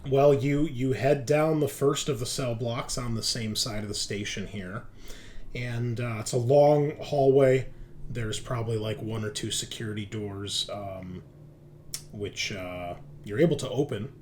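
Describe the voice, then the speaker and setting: Slow-paced man running a tabletop game. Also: medium pitch, a little mellow, a little hiss or hum. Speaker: game master. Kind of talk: narrating a tabletop roleplaying game.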